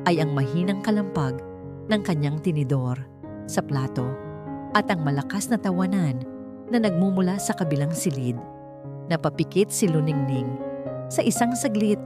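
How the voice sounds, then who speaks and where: A woman narrating a dramatic family story in a slow, measured way. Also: low voice. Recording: dry close mic with faint music.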